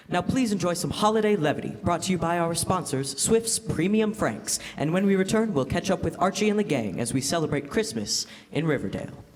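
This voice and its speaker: A man's voice: a little high, slight background noise, close to an everyday voice. A young man playing a cheery, polished announcer and narrator in the style of 1940s radio, on stage in a hall. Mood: smooth, welcoming. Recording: echoey hall stage, loud music behind.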